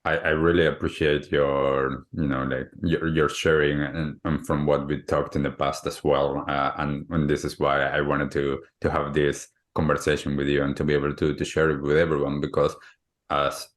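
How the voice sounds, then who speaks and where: A man's voice: very deep voice, level tone, normal speed. A man from Argentina, hosting a podcast interview and often repeating words as he searches for phrasing. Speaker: podcast host.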